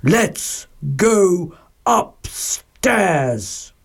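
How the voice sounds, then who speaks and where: A woman voicing a big, indignant father bear in a storybook, booming and growly with drawn-out words. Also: sing-song, breathy edge, heavily acted. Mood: growly resolve.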